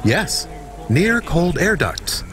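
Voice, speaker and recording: An actor voicing a polite, anxious artificial companion in a video game, terse and precise with stilted wording. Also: male voice, a little high, very animated, drawn-out words, a bit bright, heavily acted. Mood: helpful.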